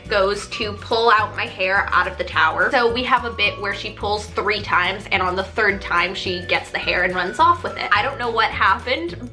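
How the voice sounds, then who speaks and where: A young adult woman telling stories in a casual vlog, chatty, animated and self-deprecating. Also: medium pitch. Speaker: vlogger and stage actress.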